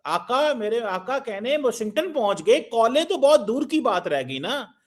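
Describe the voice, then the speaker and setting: High-voiced man, sarcastic host. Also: sing-song, a little slow. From Pakistan. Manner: sarcastic, needling questions. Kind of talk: political talk show.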